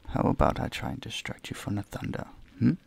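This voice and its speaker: A man playing a courteous, charming vampire in an intimate audio roleplay, soft-spoken, breathy and soothing, often close to a whisper. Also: a little fast, close to an everyday voice. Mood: doting, hospitable. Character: gentle, courteous male vampire, charming and doting. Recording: faint ambient noise behind the voice.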